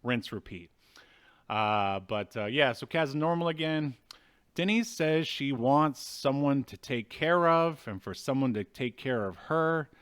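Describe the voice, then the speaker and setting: A man in his thirties with a mid-range voice talks through a film as co-host of a review show. His delivery is casual and wry, and he moves through the story haltingly. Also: medium pitch, animated, a bit bright, clean tone. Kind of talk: casual film recap and commentary. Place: indoors at home, video call.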